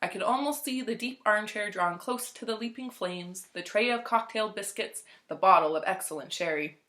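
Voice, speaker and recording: A woman reading a story aloud as narrator, in a measured, expressive, clearly phrased way. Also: mid-range voice. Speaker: library storytime reader.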